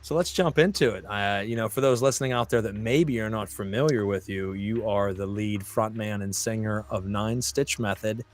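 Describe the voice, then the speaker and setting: A man's voice: on the low side, normal speed, hardly any pauses, soft background music. A man hosting a podcast in a casual chat, telling stories, swearing freely and acting out his own reactions. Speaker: podcast host.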